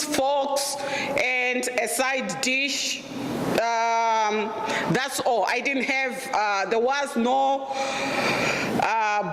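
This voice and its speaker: A middle-aged Zimbabwean woman whose voice she calls deep tells her story slowly, candid and emphatic, with flashes of humor. She speaks in a lecture room where the sound rings with a long reverb. A faint hum of ambience sits behind her. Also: a bit bright. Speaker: guest presenter. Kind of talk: personal storytelling talk.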